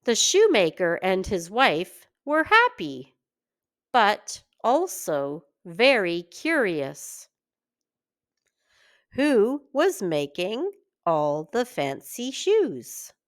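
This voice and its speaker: A woman reading a children's fairy tale aloud in an expressive, deliberate storytelling manner. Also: mid-range voice. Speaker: children's story reader, teacher.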